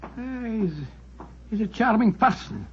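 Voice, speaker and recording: An actor playing an amiable, excitable older gentleman in a 1940s radio drama, genial and a little fussy. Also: extremely high pitch, measured pace. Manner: genial, slightly fussy and hesitant. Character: amiable, excitable older British gentleman, detective's romantic-minded companion. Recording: muffled old radio recording, faint noise.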